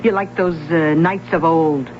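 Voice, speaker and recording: An actor playing a teasing, wisecracking bartender in a radio drama. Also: male voice, on the high side, fairly even intonation, unhurried, a little hiss or hum, natural voice. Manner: teasing, jokey, streetwise. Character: sly wisecracking male bartender Mums. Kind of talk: 1970s radio drama acting. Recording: dull lo-fi radio broadcast.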